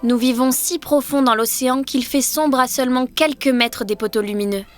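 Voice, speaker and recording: A woman's voice: high voice, steady tone, moderate pace, faint music behind, lightly acted. A woman voicing a friendly young mermaid in a children's cartoon, polite and welcoming in manner. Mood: explaining.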